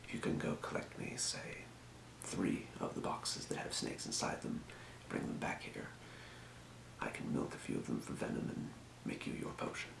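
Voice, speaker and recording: A man voicing a helpful fantasy potion shopkeeper in an ASMR role play, murmuring in an unhurried, breathy whisper. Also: reverberant, close to an everyday voice, low-quality recording. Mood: calm explaining. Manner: murmuring, unhurried, muttering to himself. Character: helpful old-world fantasy potion shopkeeper, quietly businesslike. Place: small room, indoors at a desk.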